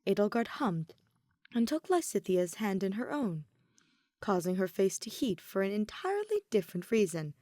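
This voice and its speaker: A woman narrating a fan fiction story in an even, measured storytelling manner. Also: mid-range voice, no room sound, studio quality. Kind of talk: audiobook narration.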